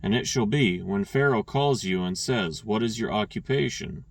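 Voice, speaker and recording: A man reading scripture aloud, stumbling and restarting on names and clearing his throat now and then. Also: fairly low pitch, moderate pace, dry recording, recorded from a distance. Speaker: scripture reader.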